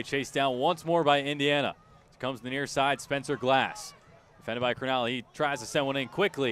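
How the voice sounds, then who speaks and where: A man's voice: mid-range voice, a bit bright. A male play-by-play announcer with a mid-range voice calls a soccer match live on radio. He follows the action in clipped, urgent phrases with emphatic delivery. Outdoor stadium sound sits faintly behind him.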